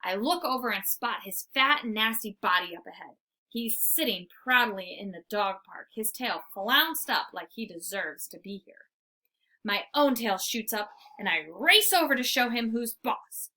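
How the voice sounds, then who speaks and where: Animated woman reading a storybook aloud. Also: mid-range voice, unhurried. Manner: animated, theatrical. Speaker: storybook reader. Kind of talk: expressive read-aloud narration.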